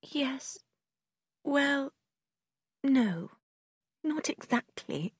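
A woman's voice: high voice, lively intonation, drawn-out words, dry recording, studio quality, pitched above the performer's own voice, heavily acted. A woman voicing a passionate, heartbroken young woman in an audiobook, with a tearful, pleading manner. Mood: hurt, hesitant. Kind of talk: audiobook character acting in a simplified classic novel.

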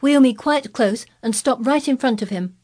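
A woman voicing an imperious, hot-tempered rich boy in a storybook reading, loud, haughty and commanding. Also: sits high, moderate pace, voice pushed up.

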